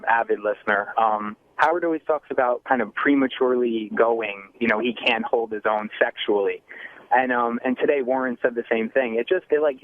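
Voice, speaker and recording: Man on a tinny phone line. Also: mid-range voice. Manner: hesitant, halting. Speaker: caller. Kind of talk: casual call-in chat.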